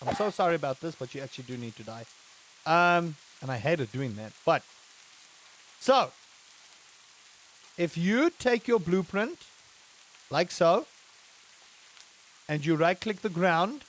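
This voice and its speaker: A man from England live-streaming a game, chatting casually with his viewers and breaking into excited exclamations. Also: fairly high pitch, low-quality recording. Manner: animated, rising to excited exclamations; chatty, addresses chat directly. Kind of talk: live-streamed gameplay chat.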